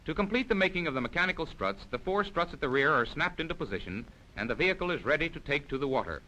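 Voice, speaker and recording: A man's voice: a little high, animated, fast talker, pushed and bright, a little hiss or hum, poor audio. A man reading scripted narration for a 1940s military instructional film in a formal, precise, explanatory manner.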